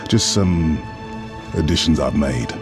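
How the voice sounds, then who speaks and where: A man playing a relaxed, wisecracking soldier in a video game cutscene, talking casually. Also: a bass voice, takes it slowly, over music, heavily acted. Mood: laid-back. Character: laid-back wisecracking male soldier.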